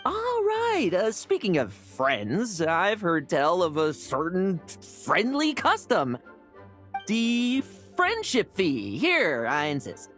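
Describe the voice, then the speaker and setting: A sly young knight from a video game. Her voice sits a little low but swings dramatically up and down. She is theatrical and coaxing, always smoothly talking someone into something. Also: huge pitch swings, slow pace, faint music behind, heavily acted.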